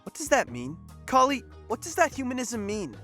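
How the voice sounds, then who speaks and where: A woman's voice: on the high side, huge pitch swings, moderate pace, a bit bright, faint music behind. A woman playing an exasperated, disapproving alien crewmate in a comedic audio drama, full of dry, indignant protest. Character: exasperated, disapproving female alien space crew member.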